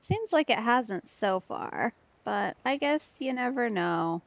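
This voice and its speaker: Wry woman streamer, lively intonation. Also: a little high, slow pace, no echo. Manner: wry, teasing asides. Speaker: let's play streamer. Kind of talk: casual game commentary. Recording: slightly dull audio, game music behind.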